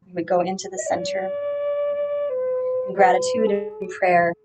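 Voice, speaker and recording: A woman speaking as a guest guide in a meditative spiritual talk, gently and deliberately, phrase by phrase with pauses. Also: fairly low pitch, level tone, over loud music, low-quality recording.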